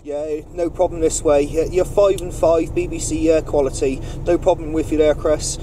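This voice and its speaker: A man's voice: fairly high pitch, steady tone, moderate pace. A man running a CB radio net, making procedural call-outs and chatting courteously with other operators. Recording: noisy vehicle CB radio setup, distant mic.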